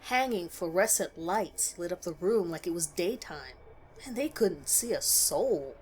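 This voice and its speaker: A woman reading a scripted first-person horror story in a measured storytelling way with expressive emphasis. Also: lowish voice, slight background noise, rough recording.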